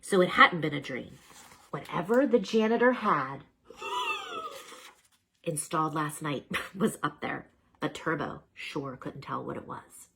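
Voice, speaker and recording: A woman reading a children's storybook aloud as a storyteller, dramatic and expressive, with chatty asides to the listeners. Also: low voice, a little slow, vocal fry, long pauses, slight background noise.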